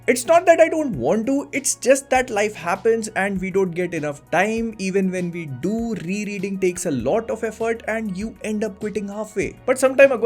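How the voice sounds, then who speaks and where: A young man hosting a vlog, eagerly recommending something in an emphatic storytelling way. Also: high voice. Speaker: channel host. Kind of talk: sponsored recommendation monologue.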